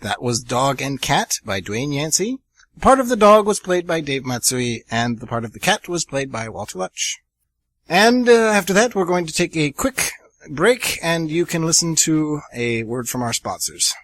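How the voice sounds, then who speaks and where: A man's voice: a little high, big pitch swings, normal speed, fairly bright tone, no room sound. A man hosting an amateur radio theatre show, narrating the story earnestly and chatting between segments with casual fillers.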